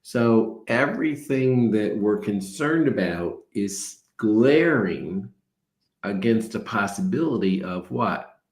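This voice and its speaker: An older man, a spiritual teacher, talking calmly and reflectively, explaining ideas with everyday examples. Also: fairly low pitch, slow. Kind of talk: reflective talk. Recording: roomy echo.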